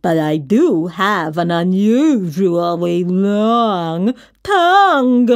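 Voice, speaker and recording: A woman's voice: medium pitch, very animated, extremely slow, no room sound, studio quality, pitched below the performer's own voice, heavily acted. A woman voicing a big, scruffy, pushy male monster in a storybook, with a slurpy, grumbling delivery. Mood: menacing, slurpy. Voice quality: slurpy, slobbery. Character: Mac, big scruffy slobbering boy monster, pushy, whiny.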